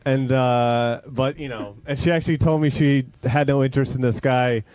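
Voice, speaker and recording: A man chatting casually and defensively on a radio show, speaking haltingly and often trailing off. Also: mid-range voice, steady tone, darkish tone, no echo. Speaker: radio show staffer on a wrap-up show. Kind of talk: casual, defensive personal chat. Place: radio studio.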